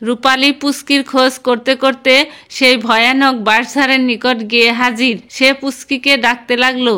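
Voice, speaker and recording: A woman playing a storytelling mother and narrator in a cartoon fairy tale, with an expressive delivery. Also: high voice, moderate pace, clear, resonant tone. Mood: suspenseful.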